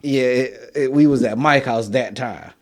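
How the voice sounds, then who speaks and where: A young man co-hosting a podcast, chatting in an easygoing way, answering with agreeing murmurs. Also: mid-range voice. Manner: easygoing, quick to laugh along, lots of agreeing murmurs. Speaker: podcast co-host. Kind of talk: casual podcast chat.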